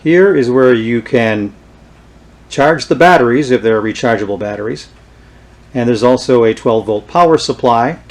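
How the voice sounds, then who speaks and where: A man with a medium-pitched voice presents an informal product demonstration. He speaks without a script, in a halting and unhurried way, as someone showing items piece by piece. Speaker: presenter showing vintage radio gear. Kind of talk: unscripted show-and-tell demonstration.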